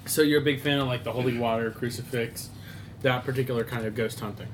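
A man's voice: medium pitch, fairly even intonation, quick pace, a little hiss or hum. A man hosting an improv podcast, interviewing characters in a playful, laughing manner. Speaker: host.

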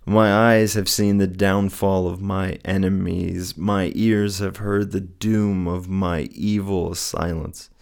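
Soothing, low-pitched man. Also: little pitch movement, slow pace, vocal fry, few pauses. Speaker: host of a personal faith show. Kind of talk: casual reflective solo talk with scripture reading.

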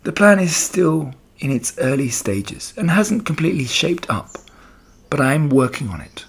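Man putting on a high, calm motherly spider. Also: vocal fry, husky, heavily acted. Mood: calm, assured. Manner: comforting, measured, gently firm. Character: calm, motherly, cool and collected female spider. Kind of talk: children's storybook character voice.

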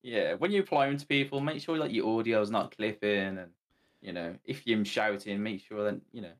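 A man with a somewhat low voice gives new streamers casual tips. He pauses often and fills the gaps with "um" while he searches for his words. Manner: hesitant, many "um"s. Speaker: guest streamer.

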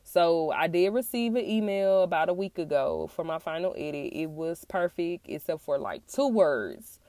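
A woman speaks in a low voice that is raspy and sounds congested after being sick. She addresses her listeners in an earnest personal monologue, pausing often as she goes. The sound is dry, with very little room in it. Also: expressive, mellow, dry recording. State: getting over a flu-like illness. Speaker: podcast host and author.

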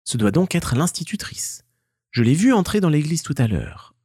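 A man's voice: on the high side, very animated, normal speed, breathy edge, no room sound, clean studio sound, voice pushed up. A man voicing a polite, humble little orphan girl in a children's tale. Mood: hopeful. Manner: polite, gentle. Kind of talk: children's storybook dialogue.

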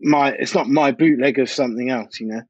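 A man's voice: medium pitch, a little fast, darkish tone, husky, no room sound. Man chatting, dull dry recording. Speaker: DJ and music producer being interviewed. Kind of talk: casual interview chat, anecdotal.

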